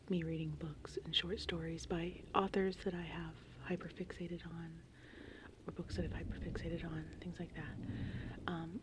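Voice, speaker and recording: A woman in her thirties whispering softly and breathily in an informal, rambling ASMR style. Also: on the low side, noisy background. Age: 30s. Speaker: ASMR channel host. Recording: steady rain and thunderstorm ambience behind. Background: gentle rain and thunder.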